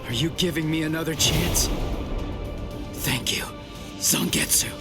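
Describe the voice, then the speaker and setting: An actor playing an earnest, determined young sword fighter in an anime video game, speaking with intensity. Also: male voice, on the high side, unhurried, loud music behind the voice, close to an everyday voice. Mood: pleading, resolute.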